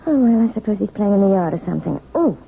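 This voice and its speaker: An actress playing an outwardly sweet, secretly vengeful housewife in a 1970s radio drama, with a fluttery, coaxing manner. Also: female voice, medium pitch, animated, fast, creaky. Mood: searching, cooing. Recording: muffled, noisy old radio recording.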